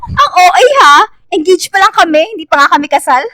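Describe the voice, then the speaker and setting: Radio drama role: frank, caring young woman, high voice, dry sound, music underneath. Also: quick pace, sharp tone, no echo. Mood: playful. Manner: blunt, emphatic. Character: frank, caring young woman confronting her best friend.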